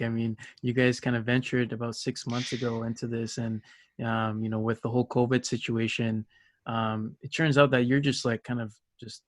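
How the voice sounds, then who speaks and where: A man's voice: mid-range voice, no echo, clean studio sound. A man hosting an interview show, chatting casually in an enthusiastic, complimentary and affirming way. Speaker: podcast host.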